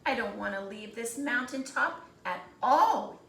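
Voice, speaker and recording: A woman's voice: fairly high pitch, expressive, measured pace, gravelly, a bit bright, echoey room, far from the mic, exaggerated voice. A woman voicing an earnest, wistful young female tree in a storybook. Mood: earnest, reverent. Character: devout young female tree longing for mountaintop.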